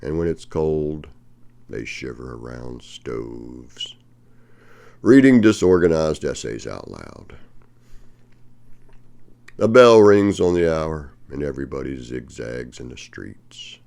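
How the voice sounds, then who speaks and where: An older man reading aloud from a book with brief asides, in a deliberate delivery that swings from emphatic to hushed. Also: very deep voice, lively intonation, vocal fry. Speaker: reader of a poetry textbook.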